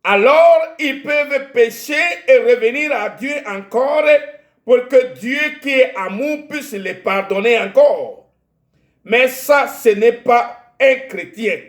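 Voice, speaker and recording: A man from Togo, whose first language is Ewe, preaching a sermon in French with a Togolese accent, slow and halting, repeating words as he searches for them. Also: very high voice, echoey room. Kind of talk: sermon with Bible reading.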